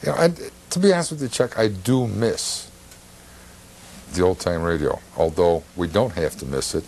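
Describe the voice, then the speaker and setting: A man, hosting a casual talk show in a halting, rambling way. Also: deep voice, expressive, a little mellow. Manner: halting, rambling, repeats words. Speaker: television host. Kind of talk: casual interview chat. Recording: faint background noise, TV studio.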